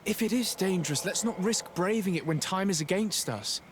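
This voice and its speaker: A man's voice: high-pitched, normal speed, slight background noise, natural voice. A man acting as a stern emissary of a merchant state in a video game, speaking formally and with measure. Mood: resolute.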